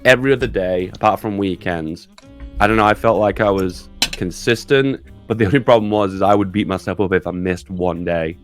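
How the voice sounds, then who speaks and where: Podcast co-host, man in his thirties, low voice, relaxed self-deprecating chat, faint music under. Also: brisk. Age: 30s.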